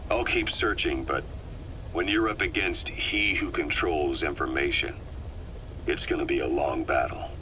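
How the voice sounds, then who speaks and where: An actor playing a shrewd, grave male spy in a video game, giving a recorded briefing in a measured, ominous, deliberate way. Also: low-pitched, pushed and bright. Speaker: intelligence informant. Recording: noisy audio cassette tape playback.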